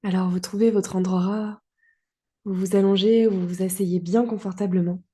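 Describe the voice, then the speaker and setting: A woman leads a guided yoga relaxation in a dark, relaxed voice. Her pitch stays very even and sits in a middle register. She speaks calmly and soothingly, leaving long pauses between short phrases. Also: slightly rough.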